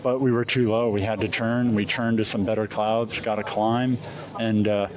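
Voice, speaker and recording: American male pilot, interviewee, low-pitched, conversational and halting, recorded amid clear crowd chatter. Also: little pitch movement. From Alaska, USA. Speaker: competition glider pilot being interviewed. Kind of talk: casual interview, recounting a flight.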